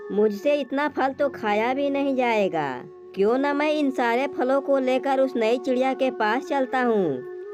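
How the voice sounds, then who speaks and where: A sly male crow from a children's cartoon voiced by a woman speaks in a high voice. The bird is greedy and smooth-talking, putting on friendliness. Music plays behind the speech. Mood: scheming, gleeful. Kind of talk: Hindi cartoon moral story acting.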